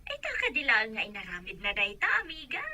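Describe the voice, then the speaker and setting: An actress playing a nagging, outspoken matron in a radio drama. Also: female voice, mid-range voice, huge pitch swings, quick pace, sharp tone, full character voice. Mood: gossipy, advising.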